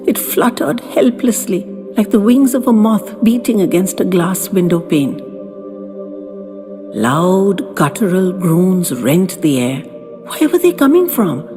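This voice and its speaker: A woman narrating a first-person story in a dramatic audiobook style, with theatrical, deliberate, literary phrasing. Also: fairly low pitch. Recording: long reverb with faint music behind.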